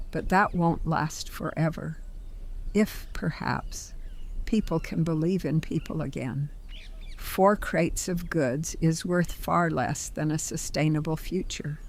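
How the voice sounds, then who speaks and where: A woman playing a composed, benevolent older stranger in an audio drama, speaking in a measured, polite, articulate way. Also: sits low.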